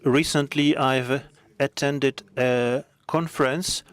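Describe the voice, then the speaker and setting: A man speaking on a conference panel, measured and a little hesitant. He talks slowly, and his voice is smooth and resonant. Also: mid-range voice, fairly even intonation. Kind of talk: panel discussion.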